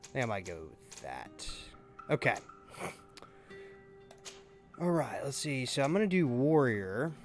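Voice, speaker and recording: A man giving casual, halting gameplay commentary as a YouTuber, thinking aloud as he goes. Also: medium pitch, big pitch swings, soft background music. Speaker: gaming YouTuber.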